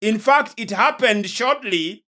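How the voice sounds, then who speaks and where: A man preaching in a lecture style, deliberate and emphatic, pausing and repeating phrases for weight. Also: high-pitched, animated, sharp tone. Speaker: preacher, host of a religious programme. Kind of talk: sermon-like lecture on prophecy and world events.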